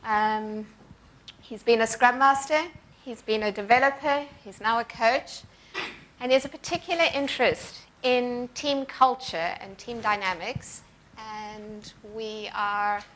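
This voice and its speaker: Conference organiser, female, higher register, warm hosting with filler pauses over faint background noise. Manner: friendly, with frequent ums and pauses. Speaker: event organiser introducing sponsors and keynote. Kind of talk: conference welcome address. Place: conference hall.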